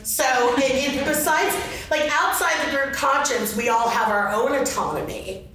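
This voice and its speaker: A woman speaking off the cuff in a panel discussion, phrasing her thoughts haltingly and reflectively. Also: high voice, a bit bright. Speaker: panelist at a fellowship convention. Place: convention meeting room. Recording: echoey room from far off, faint noise.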